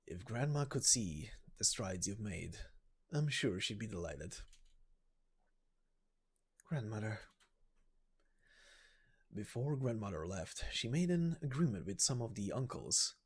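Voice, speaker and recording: Man playing a gentle, low-voiced proud father. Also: husky, full character voice. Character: gentle, proud middle-aged father of a knight trainee. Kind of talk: voiced game story reading.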